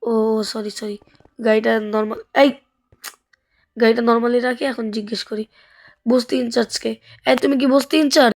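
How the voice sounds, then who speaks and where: A woman's voice: fairly high pitch, fairly even intonation, moderate pace, long pauses. A woman with a Bangladeshi accent giving casual, chatty gameplay commentary, thinking aloud and asking questions as the host. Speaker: gaming video host.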